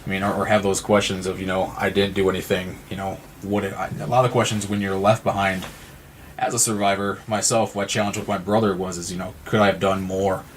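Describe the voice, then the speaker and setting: A man from Missouri with an American accent, speaking as an interview guest in an earnest, halting, reflective way. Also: deep voice, little pitch movement, a little hiss or hum. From Missouri, United States. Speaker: nonprofit representative and guest. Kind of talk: conversational interview.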